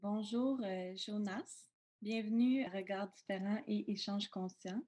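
A woman's voice: medium pitch, a little slow, mellow. A woman with a Quebec French accent, hosting a podcast in a conversational, casual style. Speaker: podcast host. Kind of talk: podcast introduction and casual interview.

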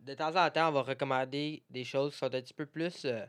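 A man's voice: mid-range voice, steady tone, fairly quick, a bit bright, clear, resonant tone. A young man with a French Canadian accent, chatting informally as a podcast co-host. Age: young adult. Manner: informal, conversational. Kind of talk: casual podcast chat.

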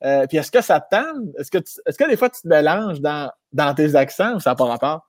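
A man's voice: fairly high pitch, lively intonation, brisk, no room sound, clean studio sound. A man with a Québécois French accent hosting a live interview, joking and playful in casual talk. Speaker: host of a live interview show.

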